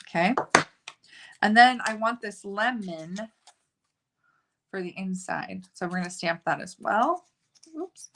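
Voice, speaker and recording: A woman's voice: medium pitch, animated, takes it slowly, pauses often, a bit bright, slight background noise. A woman hosting a casual live card-making tutorial, chatting as she works and joking about her own slips. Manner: chatty, self-narrating, laughs at own slips.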